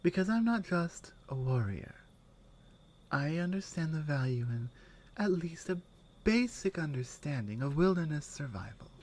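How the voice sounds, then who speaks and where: A woman playing a strong, caring Nordic warrior companion in an intimate ASMR roleplay, speaking in halting phrases that often drop toward a whisper. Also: low voice, a little mellow, a little hiss or hum. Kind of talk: ASMR roleplay, intimate one-sided dialogue.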